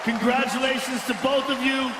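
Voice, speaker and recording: A man interviewing a fighter in the cage, loud and enthusiastic, in a large indoor arena. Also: extremely high pitch, little pitch movement, moderate pace. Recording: echoey arena with a loud crowd. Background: crowd noise.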